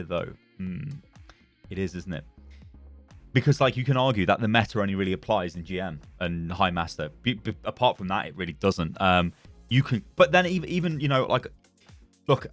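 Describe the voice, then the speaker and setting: A man's voice: fairly low pitch, no room sound. A young British man chatting casually as a gaming YouTuber, rambling and laughing as he reads and reacts to forum posts. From Britain.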